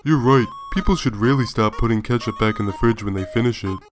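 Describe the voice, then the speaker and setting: A man voicing a laid-back wisecracking skeleton in a comic dub, casual and deadpan with a quiet threat. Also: mid-range voice, fairly quick, natural voice. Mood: dry teasing. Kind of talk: fan comic dub acting. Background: faint music.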